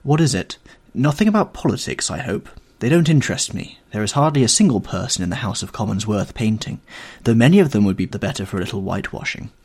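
A man voicing an earnest, anxious male painter with an excitable, protesting manner, reading a storybook aloud. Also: fairly low pitch, fast, husky. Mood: questioning.

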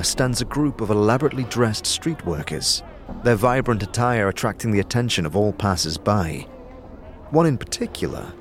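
A man reading scripted, dramatic storytelling narration as the narrator of an audio drama. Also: lowish voice. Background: music.

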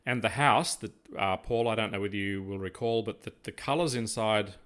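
An older Australian man with a slightly low voice hosts a casual interview. He talks in a measured, discursive manner, wandering through his thoughts conversationally. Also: a bit bright, smooth. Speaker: podcast co-host, interviewer.

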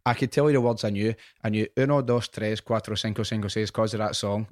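Low-voiced Scottish man telling sweary stories. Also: fast, no room sound, studio quality. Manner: animated, sweary storytelling. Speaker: podcast host. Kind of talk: casual podcast chat.

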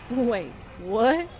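Woman playing a high-pitched, wisecracking sidekick. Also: full character voice. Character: wisecracking female newspaper sidekick, perky, cheeky. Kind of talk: 1940s radio drama acting.

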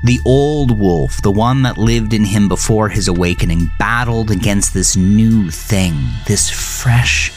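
A man with a low voice narrates a dark thriller. His delivery is slow, deliberate and brooding, with faint music underneath. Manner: measured, deliberate, brooding storytelling. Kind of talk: scripted horror thriller narration.

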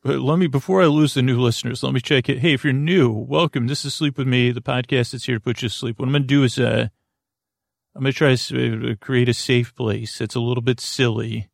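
A man with a creaky voice hosting a podcast, giving a rambling bedtime monologue in a lulling, soothing, hesitant way that often trails off mid-thought. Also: mid-range voice, fairly even intonation, fairly quick, no room sound, clean studio sound. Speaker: podcast host.

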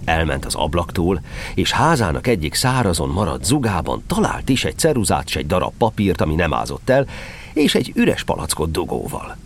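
A man narrates a storybook audio drama in a fairly low voice. His delivery is scripted and expressive, with animated rises and falls, over clear ambient noise.

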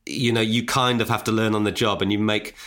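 A man's voice: on the low side, fairly even intonation, brisk, fairly bright tone. A man in his forties from Newcastle without a regional accent, chatting casually as a guest with self-deprecating humour and many restarts. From Newcastle, England. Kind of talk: casual podcast chat.

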